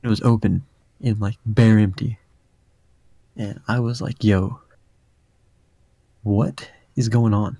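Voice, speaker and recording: A young man with a deep voice tells a long, rambling story, his tone warm and mellow. He talks into a dry, close mic with no room sound, and keeps dropping in casual fillers. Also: fairly even intonation, slow pace, pauses often, no echo. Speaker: podcast host.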